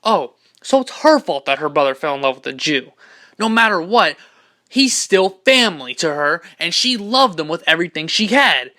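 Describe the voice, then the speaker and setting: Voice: mid-range voice, big pitch swings, normal speed, pushed and bright. An amateur actor playing a loyal, protective young man in an audio drama, earnest and intense. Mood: angry, confronting. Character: loyal, protective, angry young male best friend.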